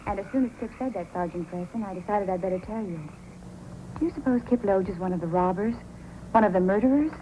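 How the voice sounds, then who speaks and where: An actress playing a coy, guarded frontier entertainer in an old-time radio drama. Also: female voice, mid-range voice, fast talker, lightly acted. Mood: anxious. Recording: noisy old radio recording.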